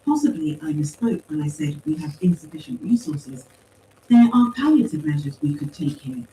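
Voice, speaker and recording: A woman voicing a calm, formal machine intelligence in an audiobook story, with precise, measured phrasing. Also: sits low. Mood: coolly reasoning. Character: calm, coolly logical machine mind speaking through empty suits. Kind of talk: acted audiobook dialogue. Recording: roomy, distant pickup with faint noise.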